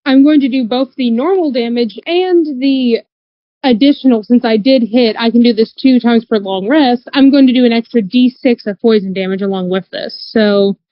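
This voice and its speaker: A woman's voice: a little high, normal speed, no echo, dull audio. A woman playing a tabletop game, casually describing what her character does.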